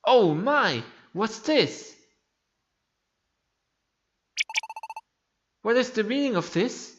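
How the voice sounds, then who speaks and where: A man who is a non-native English speaker voicing an excitable, incredulous game creature, exclaiming in disbelief. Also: sits high, measured pace, lo-fi sound, noisy background, voice pushed up. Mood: shocked. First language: not English. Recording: music behind the voice.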